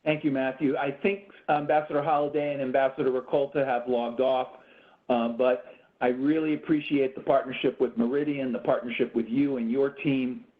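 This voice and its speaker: A man's voice: medium pitch, steady tone, normal speed. A man moderating a videoconference, polite and hesitant with frequent restarts. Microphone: video call. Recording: phone-like video call audio.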